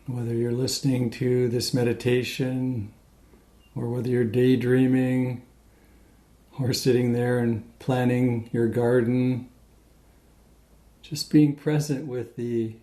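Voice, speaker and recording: Slow, mellow male meditation guide. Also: mid-range voice. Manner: slow, pausing, gentle delivery.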